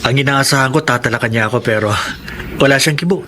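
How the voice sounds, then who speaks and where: A man's voice: mid-range voice, fast talker, bright, forceful tone, a little hiss or hum, lightly acted. An actor as a confiding, flawed family man. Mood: rueful. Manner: conversational, confiding. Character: rueful philandering husband and father, brother-in-law confidant. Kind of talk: Filipino radio drama acting.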